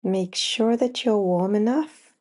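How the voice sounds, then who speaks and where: A woman guiding a yoga nidra relaxation, speaking in short, unhurried phrases with long pauses between them. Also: medium pitch, darkish tone. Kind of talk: slow guided relaxation meditation.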